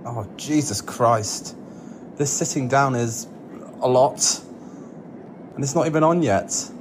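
A man's voice: mid-range voice, slow, close to an everyday voice. A man playing an exasperated, flustered boyfriend in an ASMR audio drama, weary and pleading with his sweetheart. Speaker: voice actor in an audio roleplay. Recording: clear cabin noise behind the voice. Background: vehicle and cabin ambience.

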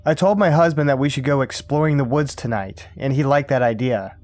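A man narrates a creepy campfire tale in a steady, unhurried way. His voice sits at an ordinary middle pitch, recorded close and dry. Faint music plays underneath. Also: dry recording. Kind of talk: scripted horror story narration.

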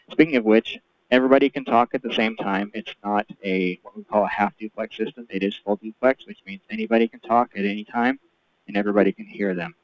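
A man in his forties explaining and demonstrating through an intercom headset that sounds like a telephone. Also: lowish voice. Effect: wireless intercom, telephone-like. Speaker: product reviewer. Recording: dull telephone-like wireless intercom feed.

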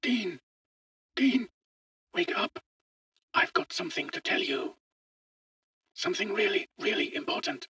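A man playing an eager, bewildered middle-aged visitor from the future, speaking in a hushed, halting way in a story. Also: high-pitched, very animated, breathy edge, no room sound, clean studio sound, far from the mic, voice pushed up, exaggerated voice. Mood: urgent whisper. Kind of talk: audio short story dialogue.

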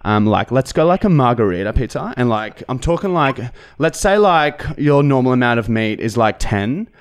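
A man's voice: mid-range voice, very animated, smooth, dry recording, lightly acted. An Australian man putting on a hesitant, self-righteous vegan customer who rambles and gets sidetracked during a prank phone call. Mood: rambling, apologetic. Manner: halting, rambling, peppered with "like". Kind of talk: prank phone call put on for a comedy podcast. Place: indoor podcast studio. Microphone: close studio microphone.

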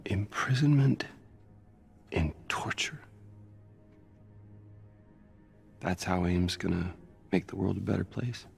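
A man playing an earnest, troubled scientist hero in a video game, gravelly, halting and intense. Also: low-pitched, faint music behind, full character voice. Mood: bitter accusation. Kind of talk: video game cutscene acting.

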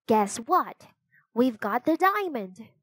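A woman's voice: fairly high pitch, big pitch swings, takes it slowly, fairly bright tone, no room sound, studio quality, heavily acted. A woman voicing a bold, eager little girl in a children's adventure story, with an exclaiming manner. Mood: excited.

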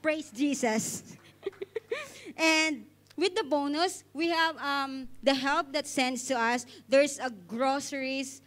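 High-pitched Filipino woman, slow devotional talk. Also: fairly bright tone. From Philippines. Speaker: church speaker leading offering. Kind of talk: devotional talk and prayer to a congregation. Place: indoor church stage. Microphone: handheld microphone.

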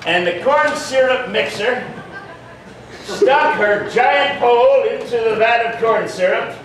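A man acting as a grandpa spinning a suggestive comic bedtime tale on stage, in a high-pitched, deliberate, mock-earnest voice. He is far from the mic in a very echoey room, with a loud crowd around him. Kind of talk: improvised comedy storytelling. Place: indoor theatre stage. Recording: roomy stage hall, distant mic, audience noise.

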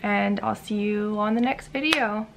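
A young American woman in her mid-twenties chats casually to a camera indoors. Her voice sits at a middling pitch and is heavy with vocal fry. She sounds informal and a little hesitant, with faint noise in the room behind her. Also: unhurried. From Hawaii, United States. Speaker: vlogger.

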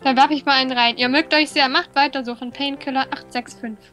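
A woman's voice: sits high, fairly even intonation, a little fast, bright, forceful tone, faint music behind. A young woman chatting playfully and teasingly as co-host of a gaming video. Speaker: Let's Play co-host. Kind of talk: casual joking gameplay chat.

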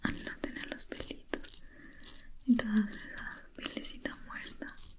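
A woman whispers softly and breathily as a spa facialist in an ASMR roleplay. She takes her time, speaking very slowly in a gentle, reassuring way with affectionate little words.

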